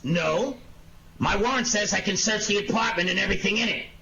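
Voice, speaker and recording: A man playing a suspicious, sardonic police inspector in a 1940s-style radio detective drama, gruff and accusing. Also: high voice, fairly quick. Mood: accusing, determined. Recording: dull, noisy lo-fi audio with clicks.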